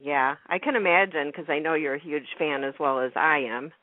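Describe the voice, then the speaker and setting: A woman's voice: low-pitched, fairly quick, dry recording. A woman interviewing casually and informally. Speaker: interviewer, co-host. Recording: dry, band-limited phone-like radio audio.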